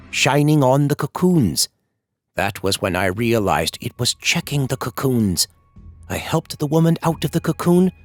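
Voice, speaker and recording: A man narrates a scary first-person story with suspense and drama. His mid-pitched voice rises and falls widely, with music playing underneath.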